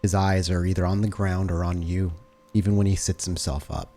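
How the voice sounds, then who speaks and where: A man's voice: low voice, little pitch movement, fairly quick, dark tone. A man narrating a tabletop roleplaying game as storyteller, describing scenes like film shots.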